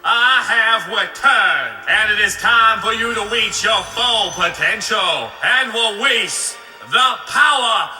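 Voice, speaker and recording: Man as a high, slow, echoing evil spirit. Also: lively intonation, bright, forceful tone, full character voice. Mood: commanding. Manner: slow, portentous, theatrical. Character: grandiose, evil male spirit calling a chosen follower. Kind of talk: comedic parody anime dub. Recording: echoing, distant playback over music.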